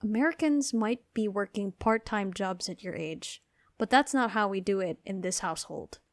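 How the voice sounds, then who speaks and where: A woman's voice: mid-range voice, moderate pace, no room sound, studio quality, lightly acted. A woman playing a supportive, protective aunt in a motion comic, with a reassuring but insistent way of talking.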